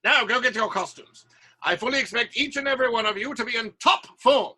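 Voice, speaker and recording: A man's voice: very high-pitched, brisk, pushed and bright, husky, heavily acted. A man playing a bossy, imperious playwright who leads a theatre troupe, with dramatic delivery in an audio play. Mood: brisk orders.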